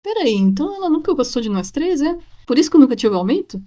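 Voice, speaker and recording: A woman voicing a naive, defensive young male ship's cleaner in an animated short, pleading and aggrieved as he explains himself. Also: sits high, fast talker.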